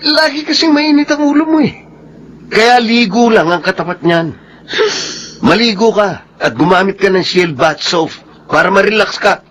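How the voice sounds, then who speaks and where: High-voiced exasperated young Filipino man. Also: animated, measured pace, fairly bright tone, heavily acted. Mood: wry advice. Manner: lecturing, exasperated. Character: exasperated, level-headed young boyfriend of an angry woman. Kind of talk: Filipino radio drama acting.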